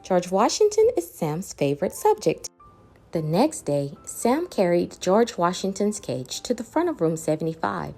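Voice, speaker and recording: A woman narrates a children's picture book. Her delivery is very animated, with pitch that rises and falls for dramatic emphasis. Music accompanies the reading. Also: a little slow, creaky. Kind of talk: expressive storybook read-aloud.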